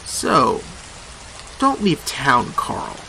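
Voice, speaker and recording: Sarcastic, bright-voiced police chief. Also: male voice, medium pitch, very animated, drawn-out words, creaky, slight background noise, full character voice, rough recording. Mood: sardonic. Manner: sarcastic, blunt. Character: gruff, sarcastic, foul-mouthed small-town police chief. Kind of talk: radio drama acting.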